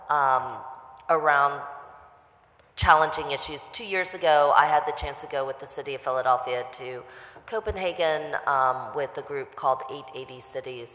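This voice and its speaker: A councilwoman speaking at a public hearing, measured, with frequent fillers. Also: very deep voice, a bit bright. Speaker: city councilwoman. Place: city council hearing room. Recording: echoey council chamber with long reverb.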